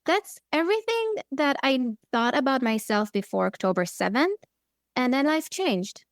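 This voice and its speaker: A woman's voice: high-pitched, sing-song, moderate pace, dry recording, studio quality. A woman with an Israeli accent talking in a candid, direct way in a podcast conversation. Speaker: podcast co-host, therapist.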